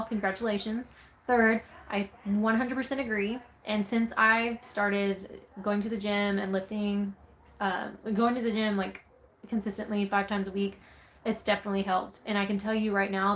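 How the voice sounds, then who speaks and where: Southern woman vlogger, medium-pitched, upbeat and chatty, talking frankly to viewers. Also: a little hiss or hum. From Southern United States. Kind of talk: casual chat reading viewer comments.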